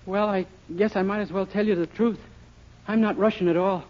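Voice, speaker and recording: A man's voice: high voice, fairly even intonation, a little fast, lightly acted. An actor playing a timid, naive and eager young man in 1940s radio sitcom style, earnest and folksy. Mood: pleading. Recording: muffled, noisy 1940s radio recording.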